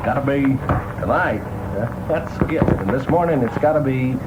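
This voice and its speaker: A man's voice: medium pitch, moderate pace, heavy background noise. An American man working as a radio disc jockey, ad-libbing wry, playful morning patter on a vintage broadcast. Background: music.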